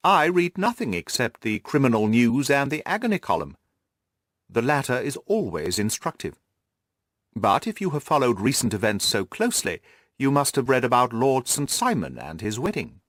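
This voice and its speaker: A man voicing a shrewd, self-assured male detective in an audiobook, speaking in a precise, formal, animated manner. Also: mid-range voice, normal speed, dry recording, studio quality. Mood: brisk, assured. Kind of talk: classic detective audiobook dialogue.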